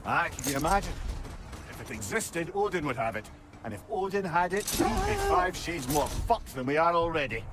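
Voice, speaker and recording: Wry old companion for a fantasy game: talkative, witty, very mobile pitch over music. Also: male voice, huge pitch swings, normal speed. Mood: wry, uneasy. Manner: wry, chatty, colourful phrasing. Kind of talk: video game voice acting.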